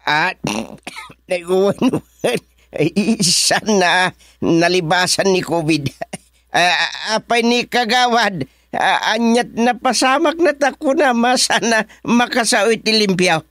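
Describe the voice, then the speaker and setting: A gossipy rural colleague in an Ilocano radio comedy. He talks slowly and haltingly in an Ilocano accent, peppered with interjections. The recording is dry. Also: sits high, expressive, a bit bright, no echo, exaggerated voice. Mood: curious, amused. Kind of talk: comedic radio drama acting.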